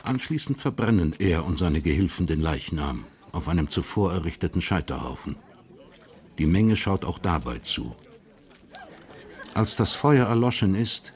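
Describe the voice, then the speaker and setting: Low-voiced male radio drama narrator. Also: darkish tone. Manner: sober, literary, measured.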